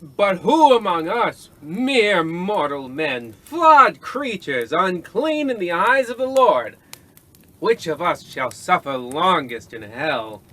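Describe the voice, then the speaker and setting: A man acts out a bombastic police detective from an old-style radio play. The voice sits fairly high and rings bright and forceful. It swings widely in pitch, pompous and impatient, as if every order were a proclamation. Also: sing-song, slow pace, exaggerated voice, rough recording. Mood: melodramatic. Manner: pompous, declamatory. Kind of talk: old-time radio comedy acting.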